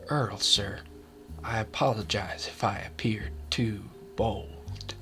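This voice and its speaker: A man playing a deferential, cautious butler in a radio drama, speaking in a hushed, formal way. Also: lowish voice, steady tone, slow pace, faint music behind. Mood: cautious, apologetic.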